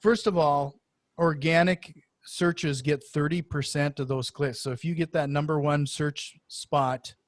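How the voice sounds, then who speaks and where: A man with a somewhat high-pitched voice hosts a conversational interview show. He talks in a wordy, halting manner, repeating words as he goes, and the sound is close and dry. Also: steady tone, no echo. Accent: Norwegian-influenced.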